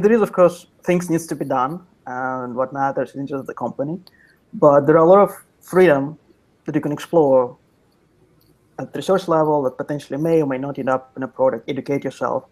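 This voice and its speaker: A man's voice: on the high side, brisk, darkish tone, low-quality recording. Thoughtful man on a video call. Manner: thoughtful, pragmatic, with frequent restarts. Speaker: panelist from industry. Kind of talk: panel answer over video call. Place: office with orange wall. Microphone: video call webcam.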